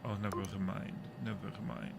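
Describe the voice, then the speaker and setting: A man's voice: deep voice, little pitch movement, normal speed, vocal fry, heavy background noise. A man hosting a casual live game stream, chatting playfully with viewers and muttering to himself in a jumpy way as he plays.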